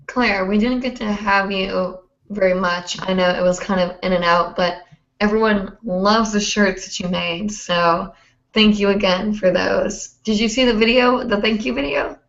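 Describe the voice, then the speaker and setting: Hoarse young woman, gravelly voice, chatty and rambling, roomy dull stream audio. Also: medium pitch, fairly even intonation, unhurried, fairly bright tone. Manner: chatty, rambling with many fillers. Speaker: host. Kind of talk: casual livestream chat.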